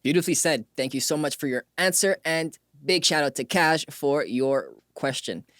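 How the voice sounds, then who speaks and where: A man's voice: on the high side, animated, moderate pace, a bit bright, no echo, clean studio sound. A man hosting an interview, asking questions in a conversational, affirming and appreciative way. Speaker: podcast interview host.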